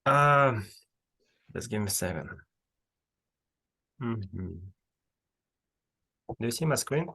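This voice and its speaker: A man's voice: medium pitch, animated, slow pace, vocal fry, long pauses, pushed and bright, breathy edge. A man who is not a native English speaker hosts an online speaking club on a video call, talking hesitantly with many fillers and restarts. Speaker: club host. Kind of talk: casual online conversation and reading aloud.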